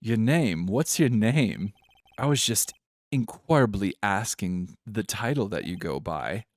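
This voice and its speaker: A man's voice: on the low side, animated, measured pace. A man voicing a smug, pompous CEO from a video game in an affected, mock posh British accent. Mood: smugly inquisitive. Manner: pompous, affected, showy with big words. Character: smug pompous male CEO, slick salesman type. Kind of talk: live-read video game character voice.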